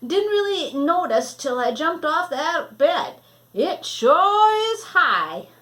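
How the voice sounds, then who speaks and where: A woman's voice: high voice, big pitch swings, slow, a bit bright, pitched above the performer's own voice, full character voice. A woman voicing a shy, polite mountain boy who talks in a plain, folksy country way in a storybook.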